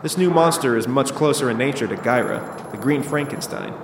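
A middle-pitched male scientist voiced by an actor explains things calmly and analytically in an audio drama. The room is echoey and clearly noisy. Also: fairly quick, natural voice. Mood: assured, analytical. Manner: analytical, assured. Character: level-headed male monster scientist. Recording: echoey, with clear background noise.

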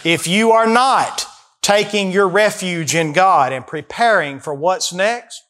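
High-pitched Southern man preaching. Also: slow pace, fairly bright tone. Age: early 40s. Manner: folksy, emphatic, rhetorical questions to listeners. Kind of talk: expository sermon, reading verses and explaining them.

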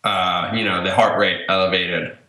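Bright-voiced young man in a roomy echo. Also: low-pitched, flat delivery, moderate pace. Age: early 20s. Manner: loose, chatty, filler-heavy with "like". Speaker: podcast co-host. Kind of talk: casual podcast banter. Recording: roomy, echoing sound.

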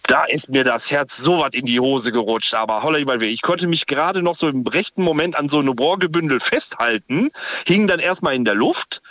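A man's voice: fairly high pitch, animated, brisk, fairly bright tone, dry recording. Caller, man from the Ruhr, rambling storyteller, dry phone sound. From Ruhr area, Germany. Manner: chatty, rambling, self-correcting. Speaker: radio caller. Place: parked car.

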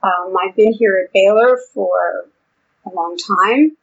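An older woman with a New York accent, explaining her research in a relaxed interview with frequent ums. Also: mid-range voice, slow pace, gravelly. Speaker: vestibular researcher and therapist. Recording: dull lo-fi audio.

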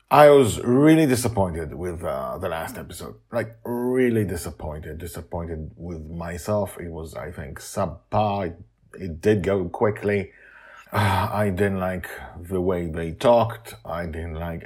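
A man hosting a podcast as its author, speaking in a halting, candid and emphatic way with a husky voice. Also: sits low, darkish tone. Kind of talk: casual spoken introduction, then story narration.

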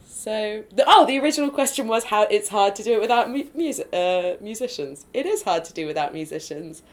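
A woman with a nasal, projecting voice, chatting excitably as a podcast guest, full of fillers and quick laughs. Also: medium pitch. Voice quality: loud, projecting voice. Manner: bubbly and excitable, laughs often, many fillers. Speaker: podcast guest, improv performer. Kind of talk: casual podcast interview.

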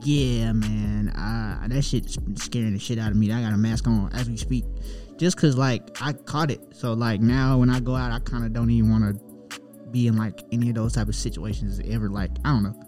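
A man's voice: lowish voice, fairly even intonation, fairly quick, dark tone, faint music behind. A young man, a rapper, chatting casually and telling a long story in a loose, profane way. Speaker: rapper guest. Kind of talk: casual chat.

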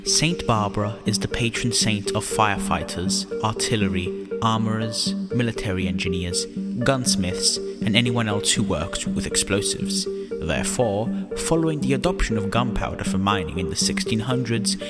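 A man's voice: lowish voice, normal speed. A man giving scripted explanatory narration as an informational narrator. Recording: long reverb under loud guitar music. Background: guitar music.

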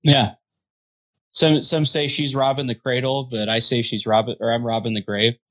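A man's voice: medium pitch, level tone, moderate pace, pushed and bright. A man in his thirties, co-hosting a casual podcast and throwing in dry jokes. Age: 30s. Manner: joking, wisecracking. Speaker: podcast co-host. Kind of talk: casual chat.

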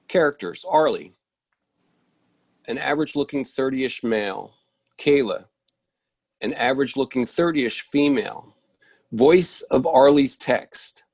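A man's voice: medium pitch, no room sound. A man reads a play script's stage directions in a mid-range voice. He sometimes trips on a word and corrects it. The sound is dry, with little room to it. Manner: stumbles and corrects himself. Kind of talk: reading a play script aloud.

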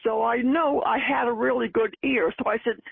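Woman in her 80s from Boston, chatty storyteller, husky voice, tinny archive recording. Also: on the high side, fairly even intonation, moderate pace, dry recording. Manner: chatty, laughing at herself. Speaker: oral history interviewee. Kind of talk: storytelling recollection.

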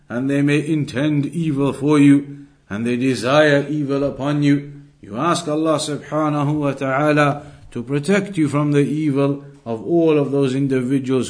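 Higher-pitched man teaching a religious lesson. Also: darkish tone. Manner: explanatory, repeats and rephrases points for emphasis. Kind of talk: Islamic lesson, reading Arabic text and explaining it.

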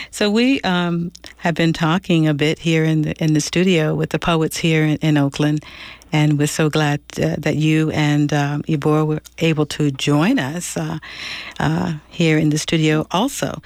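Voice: mid-range voice, steady tone, measured pace, no echo. A radio host interviewing poets in the studio, talking with many "um"s and restarts.

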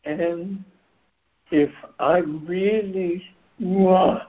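A man losing his voice gives a reflective spiritual share on a group call, measured and clearing his throat now and then. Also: high voice, fairly even intonation. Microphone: phone on a conference call. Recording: dull lo-fi conference call line.

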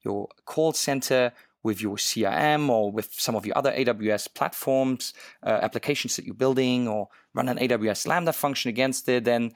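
A man from Luxembourg talks through tech features in an eager, casual way, full of "you know" and small restarts. His voice sits at a middle pitch. The recording is dry and close, with no room sound. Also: normal speed, no room sound. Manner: enthusiastic, many fillers and restarts. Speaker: co-host, developer advocate. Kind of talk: casual tech podcast chat.

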